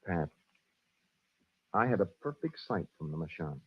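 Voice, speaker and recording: An actor playing a tough but tender adventurer, terse and firm, in 1940s radio drama style. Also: male voice, sits low, big pitch swings, measured pace, breathy edge, dry recording, exaggerated voice. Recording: tinny, narrow old radio recording.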